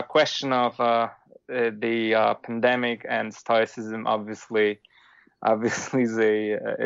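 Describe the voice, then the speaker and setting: A man from Poland with a Polish accent, a philosopher guest talking casually, with a halting manner full of restarts and fillers. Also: medium pitch, creaky, no room sound, dull audio. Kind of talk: casual interview talk.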